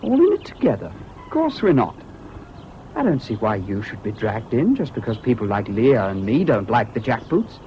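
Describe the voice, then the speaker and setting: An actor playing an intense, disillusioned young war volunteer in a radio drama, speaking emphatically. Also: male voice, mid-range voice, wildly swooping pitch, moderate pace, mellow. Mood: incredulous. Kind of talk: 1970s British radio drama acting. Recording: dull, hissy old radio recording.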